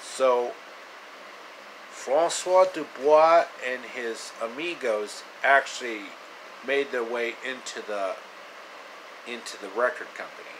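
A man in his thirties telling an improvised story casually to camera, halting and repeating phrases, in a noisy small room. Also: mid-range voice. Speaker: amateur storyteller. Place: small indoor room, reclining. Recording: clear air conditioner noise.

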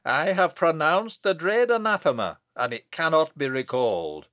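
Man acting a stern, booming, wrathful abbot. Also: lively intonation, normal speed, sharp tone, no echo, clean studio sound. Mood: wrathful, condemning. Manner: declamatory, solemn, archaic incantatory speech. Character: stern, wrathful abbot pronouncing a prophetic curse.

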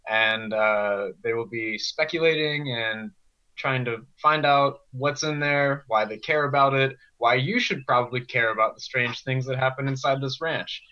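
A young man with a bright, forceful voice explains things as a student guest. The recording is a dull, lo-fi video call through earphones. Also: medium pitch, measured pace. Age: young adult. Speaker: student performer being interviewed. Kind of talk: explanatory promotional talk. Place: bedroom, indoors. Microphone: earphone mic on video call.

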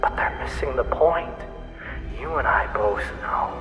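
An actor playing an unhinged, bitter, obsessive serial killer in an audio drama, halting and menacing, moving between breathy hush and outbursts. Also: male voice, mid-range voice, lively intonation, natural voice. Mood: admiring, creepy. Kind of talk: theatrical audio drama monologue. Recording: echoey reverberant hall, faint music behind.

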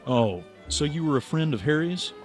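An actor playing a blunt, dogged writer in a radio drama, speaking in a direct, questioning and insistent way. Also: male voice, a little high, animated, moderate pace, soft background music, natural voice. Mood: guarded. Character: dogged, blunt American writer probing a friend's death.

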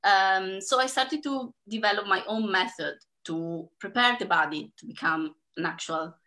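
A woman's voice: medium pitch, normal speed, bright, forceful tone. A woman in her thirties from Italy with an Italian accent, introducing herself as a dance educator on a video call, chatty with many fillers. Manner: chatty, many fillers, laughs between phrases. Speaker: panel guest, dance educator. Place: home room. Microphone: laptop webcam mic on video call.

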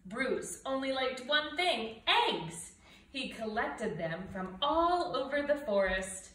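A middle-aged woman reading a children's storybook aloud in an animated way, with dramatic emphasis. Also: fairly high pitch, takes it slowly. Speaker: storybook reader. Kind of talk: expressive children's read-aloud. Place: indoors, living room by brick fireplace and window. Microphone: camera a few feet away. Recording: roomy, distant mic, faint noise.

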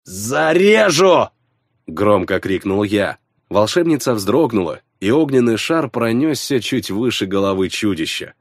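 A man narrating fiction in the first person, scripted and expressive, on a close studio mic. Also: lowish voice, normal speed, no room sound. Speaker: audiobook narrator. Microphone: close studio microphone.

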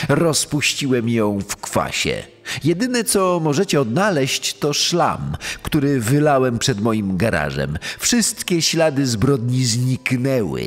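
A smug, calculating middle-aged murderer, acted by a man in a crime dramatisation, speaks with swooping intonation and slow, theatrical pauses. The voice rings in a long reverb with music underneath. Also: mid-range voice, very animated. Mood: smug gloating. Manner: theatrical, deliberate, with drawn-out pauses. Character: smooth, arrogant middle-aged male killer, feigning concern, gloating. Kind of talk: dramatised true-crime reenactment.

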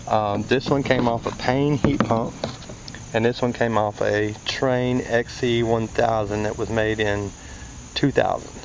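A man explaining parts hands-on as a technician, in a casual, folksy way, thinking aloud as he goes. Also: medium pitch. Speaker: HVAC technician demonstrating parts. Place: outdoors in a yard by a scrap pile. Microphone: handheld camera held by the speaker. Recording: noisy outdoor recording with insects.

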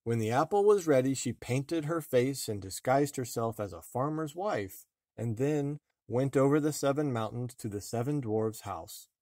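A man reading a fairy tale aloud as an expressive storybook narrator. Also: medium pitch, moderate pace, no echo, studio quality. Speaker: storybook reader.

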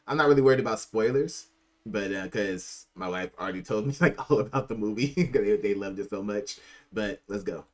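Low-voiced middle-aged man, casual. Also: a little fast. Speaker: reaction video host. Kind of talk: casual commentary. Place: small room indoors.

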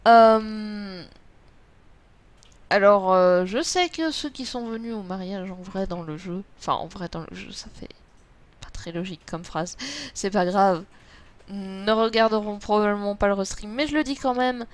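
A woman's voice: medium pitch, unhurried. A French woman chatting casually and playfully as she streams an online game.

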